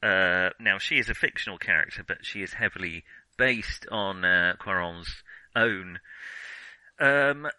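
A man co-hosting a casual film podcast, talking in a halting, self-interrupting way with a jokey, self-deprecating streak. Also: deep voice, pushed and bright, clear, resonant tone, dry recording, rough recording. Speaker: podcast co-host.

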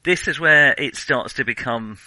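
A man's voice: mid-range voice, normal speed, bright, forceful tone, no echo, rough recording. A man co-hosting a casual film discussion, analytical and feeling his way through his points. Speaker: podcast co-host.

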